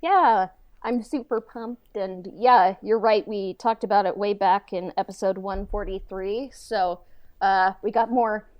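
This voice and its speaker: A woman co-hosting a podcast in casual, halting chat peppered with filler words like "like" and "kinda". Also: mid-range voice, fairly even intonation, dry recording, muffled recording. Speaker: podcast co-host and audio drama writer.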